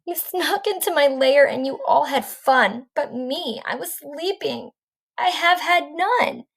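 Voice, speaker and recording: A woman's voice: high voice, expressive, takes it slowly, creaky, voice pushed up, heavily acted. A woman voicing a big grumpy male bear who whines about being left out, in a picture book read-aloud. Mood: sulky whining.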